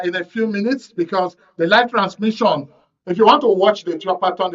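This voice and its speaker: A man's voice: sits high, a bit bright. A man with a Nigerian accent hosting a live radio talk show, speaking with guests and callers. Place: radio studio. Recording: distant, dull, muffled broadcast audio.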